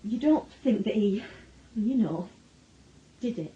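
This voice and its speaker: A woman's voice: mid-range voice, animated, takes it slowly, mellow, husky, highs cut off, full character voice. An actress playing a worried, middle-aged Northern English mother with a dry, sardonic manner in a naturalistic radio drama. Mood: troubled. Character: worried, wry Northern mother of a suspected lad.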